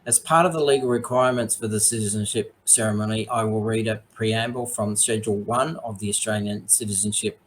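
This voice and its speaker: A man serving as mayor, hosting a formal online citizenship ceremony in a measured, polite way with frequent ums, speaking over a video call. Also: on the low side, fairly even intonation, slight background noise, rough recording.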